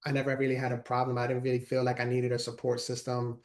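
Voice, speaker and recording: Quick, flat-voiced man in his forties. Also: medium pitch. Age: 40s. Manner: earnest, repeats words while talking. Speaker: guest, motivational speaker and author. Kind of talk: casual interview conversation.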